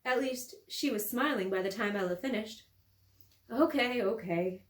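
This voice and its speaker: A woman's voice: on the high side, a little fast. A woman, an author, reading her novel aloud as scripted story narration in an echoey room.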